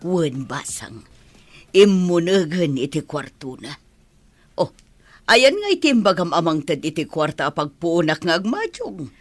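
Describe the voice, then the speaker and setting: A woman's voice: lowish voice, very animated, measured pace, pauses often, dry recording. A woman with an Ilocano accent playing a sly, greedy mother figure in a comedy radio drama. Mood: gleeful, greedy.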